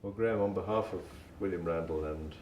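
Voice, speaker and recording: A man's voice: low voice, brisk, a little mellow, highs cut off. A man giving a formal award presentation speech as a museum director. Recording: distant, echoey, noisy room recording.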